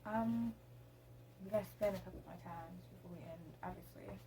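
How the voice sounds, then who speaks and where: A woman's voice: fairly low pitch, a little fast, background noise. A teenage girl vlogging at home, chatting casually to her camera, rambling with lots of ums. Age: teens. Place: room at home.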